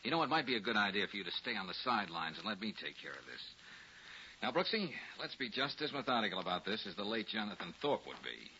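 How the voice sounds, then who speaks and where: An actor playing a brisk, wisecracking tough private detective in a 1940s radio drama. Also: male voice, fairly low pitch, very animated, pushed and bright, heavily acted. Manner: wry, slangy, hard-boiled. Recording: muffled, hissy 1940s radio recording.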